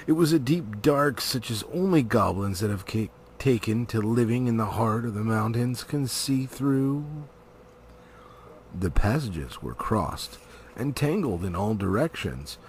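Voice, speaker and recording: A man narrating a storybook as an amateur reader, theatrical, with drawn-out words and pauses. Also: lowish voice, animated, darkish tone, slight background noise. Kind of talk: dramatic storybook reading.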